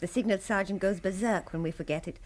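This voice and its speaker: An actress playing a spirited young wartime radio operator in training, informal in manner, in a radio drama. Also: female voice, medium pitch, fast talker, darkish tone, natural voice. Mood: exasperated, defiant.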